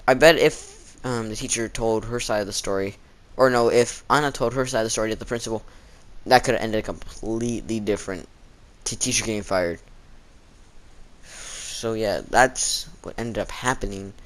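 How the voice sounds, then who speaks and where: A man's voice: lowish voice, moderate pace. Rambling teenage guy telling a story. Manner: rambling, acts out quoted exchanges. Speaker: storytelling vlogger. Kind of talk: casual storytime chat.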